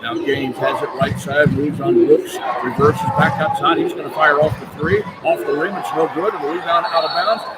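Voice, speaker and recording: A man's voice: a little high, fairly even intonation, moderate pace, few pauses. An American man calling live basketball play-by-play for radio, describing the action in short clipped phrases. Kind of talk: live radio sports commentary. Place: large indoor basketball arena. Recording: live arena broadcast with loud crowd noise. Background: loud crowd and arena noise.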